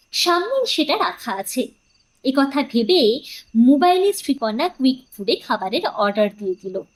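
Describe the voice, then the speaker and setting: A woman narrating a scripted story in a measured, expressive storytelling manner. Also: high-pitched, low-quality recording.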